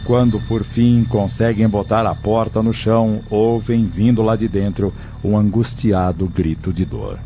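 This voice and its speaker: A man's voice: lowish voice, steady tone, a little slow, few pauses. A man giving scripted, dramatic narration for an audio novel. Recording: dull, lo-fi recording with music.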